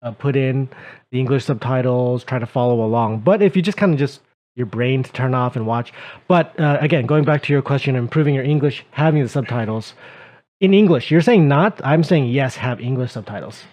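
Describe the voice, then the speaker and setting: A man's voice: mid-range voice, fairly quick, lo-fi sound. A man co-hosting a radio show, chatting casually with frequent fillers as he gives tips. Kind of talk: casual radio chat giving English-learning tips. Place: radio studio.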